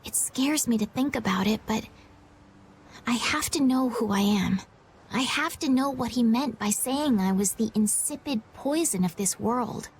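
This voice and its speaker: Earnest young princessy game girl, high pitched, reflective, bit of background noise. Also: unhurried, low-quality recording. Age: young adult. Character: earnest, gentle young noblewoman, impulsive and self-critical. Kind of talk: video game voice acting.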